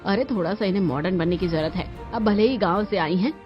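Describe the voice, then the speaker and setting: A woman's voice: medium pitch, fairly quick, highs cut off, soft background music, natural voice. Woman playing a scornful, bossy mother-in-law.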